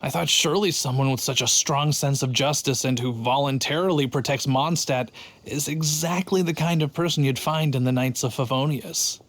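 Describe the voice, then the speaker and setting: A man's voice: medium pitch, normal speed, natural voice. A man voicing an earnest, boastful but timid city guard in a video game, telling things with drama. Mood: earnest, puzzled. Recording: faint music behind the voice.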